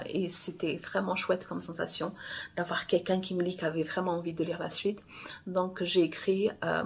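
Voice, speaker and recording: A woman's voice: fairly low pitch, gravelly, slightly rough. A woman from Angola, a native Portuguese speaker, talking casually as an author in a rambling monologue, often restarting and correcting herself. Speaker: romance author.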